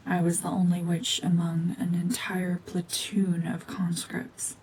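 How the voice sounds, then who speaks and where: A cold, battle-worn witch, played by a woman, speaks in a low, breathy hush. Her delivery is brooding and deliberate, with very little rise or fall in tone. It is a dramatic ASMR roleplay, with a faint low rumble in the recording. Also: monotone, lightly acted, poor audio. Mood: bitter, brooding. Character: cold, mean, world-weary gorgon witch, war veteran cyborg.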